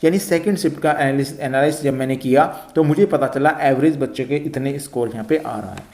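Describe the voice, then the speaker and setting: A man's voice: mid-range voice, fairly quick, a little mellow. A man teaching exam students, explaining step by step and repeating himself as he goes. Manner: repetitive, step-by-step explaining, often addressing listeners as children. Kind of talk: explanatory lesson addressed to students. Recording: echoey, roomy sound.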